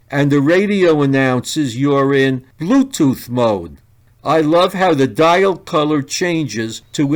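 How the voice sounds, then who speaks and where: A man reading a scripted product review in a deliberate, plainly enunciated way. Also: fairly high pitch. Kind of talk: scripted narration.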